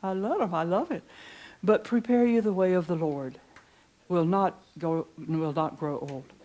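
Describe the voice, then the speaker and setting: Older woman with a deep voice preaching. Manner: chatty and warm, reads hymn lyrics slowly and softly. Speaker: pastor teaching preachers. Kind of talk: informal preaching workshop talk. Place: conference room with seated audience.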